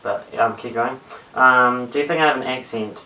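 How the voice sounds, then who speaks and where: A young man in his late teens with a New Zealand accent, chatting casually to camera in a low-key, hesitant mumble. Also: normal speed. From Christchurch, New Zealand. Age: young adult, late teens. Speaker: amateur video blogger. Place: small bare room indoors. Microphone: webcam at a short distance. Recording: roomy, distant webcam mic with hiss.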